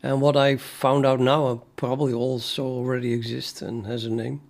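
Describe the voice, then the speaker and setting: A man's voice: mid-range voice, level tone, moderate pace, creaky, a little mellow. A man from the Netherlands with a Dutch accent, a native Dutch speaker, chatting casually on a coding stream and thinking aloud with many fillers and restarts. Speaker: programmer streaming his coding. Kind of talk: casual live-stream chat.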